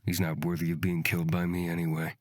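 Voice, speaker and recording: A woman's voice: completely flat delivery, fast, a little mellow, no room sound, clean studio sound. A woman voicing a cocky, bloodthirsty male fighter in a fantasy visual novel, taunting in a drawl. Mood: cold menace. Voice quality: low, husky. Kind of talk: visual novel character reading.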